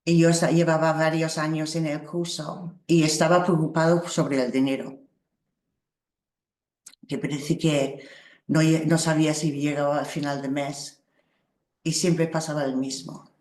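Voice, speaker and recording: Slow, reflective woman, Spanish. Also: low-pitched, flat delivery, a little mellow. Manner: slow, halting, reflective, with small laughs. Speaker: workshop teacher. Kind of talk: spiritual workshop talk. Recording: roomy echo.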